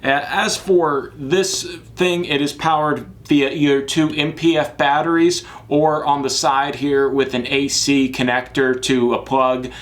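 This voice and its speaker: A man's voice: medium pitch, steady tone, unhurried, few pauses. A man giving a casual, informal gear review to camera, talking in a chatty, emphatic way with asides. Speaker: product reviewer, live sports production crew.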